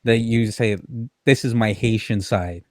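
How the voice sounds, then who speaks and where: A man hosting a faith podcast interview, speaking in a halting, sentimental way with many restarts. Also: lowish voice, fairly even intonation, darkish tone, no room sound, studio quality. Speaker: podcast host. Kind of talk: conversational interview.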